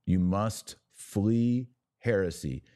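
A man with an American accent and a low voice speaks in a very dry acoustic. He hosts a live religious podcast, taking questions from viewers. His delivery is didactic and emphatic, spelling out each point like a teacher. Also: drawn-out words, mellow, no echo.

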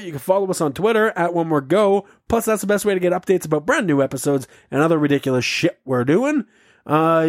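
A man's voice: high voice, no room sound. Male comedy podcast co-host, rambling and silly, dry close mic. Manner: rambling, goofy, laughs often. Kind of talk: casual comedy podcast banter.

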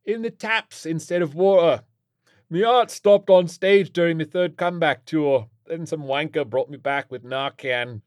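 A rowdy old British rock star, acted out by a male narrator, pitched high and loud. He is brash, slangy and cheerfully wasted, a comic storybook character with a dry, close sound. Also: a little slow, no echo, lightly acted. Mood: boisterous cheer.

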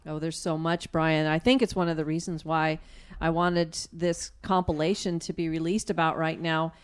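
A woman's voice: sits low, level tone, normal speed, clear, resonant tone. A woman in casual radio conversation, speaking in an earnest, reflective way.

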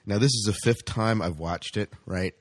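Male podcast co-host, low register, bantering and excitable, casual delivery, close dry sound. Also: moderate pace, dry recording.

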